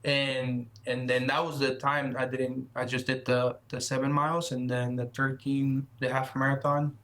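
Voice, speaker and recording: A man in his thirties chats casually as an interview guest. He talks in halting bursts, repeats his words, and laughs a little shyly. His voice sits at a middling pitch. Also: flat delivery, fairly bright tone. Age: 30s. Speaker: guest runner.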